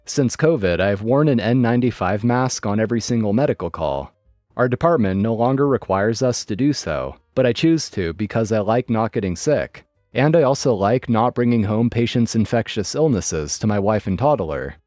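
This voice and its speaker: A man narrating online stories in a lively, dry storytelling manner. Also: lowish voice, moderate pace, dry recording, faint music behind.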